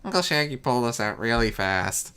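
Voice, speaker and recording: A woman's voice: very deep voice, animated, fairly quick, fairly bright tone, smooth. A woman voicing a mischievous, boastful young male chaos spirit in a story, grumbling and bragging offhandedly. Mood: offhand boasting. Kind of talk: fan fiction story reading.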